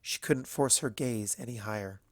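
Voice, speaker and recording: A man's voice: medium pitch, normal speed, no room sound. A man reading scripted storybook narration as the narrator.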